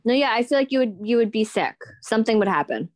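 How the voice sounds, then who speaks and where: A woman's voice: a little high, animated, quick pace, darkish tone. A woman co-hosting a casual podcast chat, blunt, teasing and crude. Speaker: podcast co-host.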